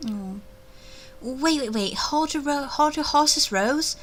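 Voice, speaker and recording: Bright-voiced woman narrating a visual novel. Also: high-pitched, slow pace, a little hiss or hum. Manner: animated, expressive, chatty. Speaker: gamer reading a visual novel aloud. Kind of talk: first-person narration with asides.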